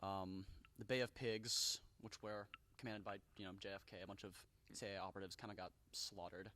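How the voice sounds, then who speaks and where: A man's voice: lowish voice, steady tone, brisk, pushed and bright. A teenage boy guest in a casual podcast chat, speaking loosely with many fillers. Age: teens. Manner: casual, filler-heavy, laughs. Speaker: student guest.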